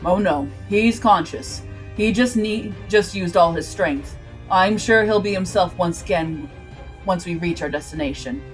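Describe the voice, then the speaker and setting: An imperious seafaring man of a pirate adventure story , acted out by a woman, pitched well below the narrator. He speaks formally and commands with an ominous weight, over a music bed. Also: a little slow, natural voice. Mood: cool, measured.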